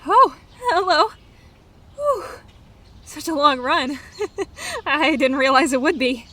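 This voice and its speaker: A woman's voice: high-pitched, big pitch swings, slow, pushed and bright, full character voice. A woman playing a perky, naive and friendly young female creature in a scripted fantasy drama, chirpy and bubbly. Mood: cheerful, friendly.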